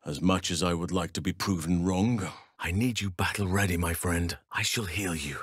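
A solemn, gentle druid healer for a fantasy video game. His voice sits on the low side and is recorded very dry. He phrases things formally and with old-world gravity, like a wise elder of the wilds. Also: husky, no echo. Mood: solemn, measured. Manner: measured, formal, archaic phrasing.

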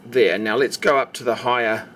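A man's voice: medium pitch, moderate pace. A man giving a casual, instructional narration, explaining carefully step by step as the presenter of a tutorial. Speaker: knife maker presenting a tutorial. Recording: steady background noise, homemade recording. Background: noticeable background noise.

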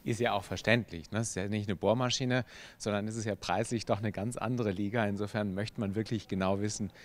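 A man hosting an interview, asking brisk, leading questions into a handheld mic in a large showroom. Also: lowish voice. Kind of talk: on-camera interview. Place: large car showroom indoors. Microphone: handheld microphone.

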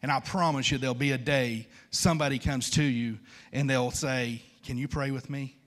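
A man's voice: medium pitch, fairly even intonation, moderate pace. A man, preaching an informal, conversational sermon and repeating phrases for emphasis. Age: 50s. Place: large church stage at a wooden pulpit.

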